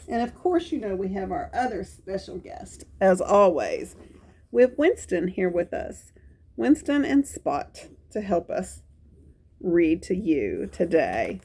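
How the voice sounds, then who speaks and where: A woman hosting a children's storytime, reading a picture book aloud with chatty, exclaiming asides and repeated phrases. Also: medium pitch. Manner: animated, exclaiming, repeats phrases to young listeners.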